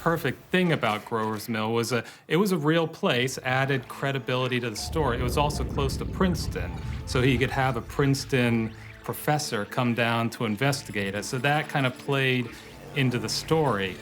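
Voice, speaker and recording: A man's voice: mid-range voice, moderate pace. A man explaining conversationally as an interviewed commentator. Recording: music over the voice.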